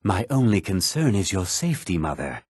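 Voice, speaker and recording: A man's voice: sits low, sing-song, moderate pace, breathy edge, dry recording, clean studio sound, full character voice. An actor playing a haughty, stern, indignant young nobleman in a video game. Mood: earnest. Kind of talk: video game character lines.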